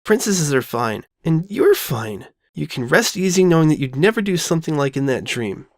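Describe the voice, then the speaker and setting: A woman voicing a loyal, reassuring young boy dragon who talks plainly and gently insists, in an audio drama. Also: deep voice, big pitch swings, normal speed, no room sound, studio quality. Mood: soothing. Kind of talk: all-dialogue fan audio drama.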